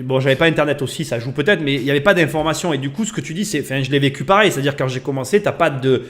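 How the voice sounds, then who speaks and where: A man's voice: medium pitch, rapid-fire, fairly bright tone. A man hosting a live-streamed interview who speaks in a chatty way, with hesitations and easy laughter. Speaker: podcast host.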